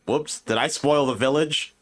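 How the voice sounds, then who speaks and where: A man's voice: mid-range voice, normal speed. A man co-hosting a podcast, chatting casually and telling things in a wry, joking way. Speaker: podcast co-host.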